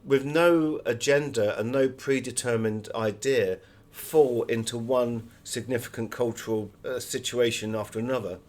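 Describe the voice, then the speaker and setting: A man's voice: mid-range voice, a little slow, few pauses, darkish tone. A man speaking as a guest author in an interview, explaining things in a discursive, anecdotal way. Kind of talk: conversational interview, telling anecdotes.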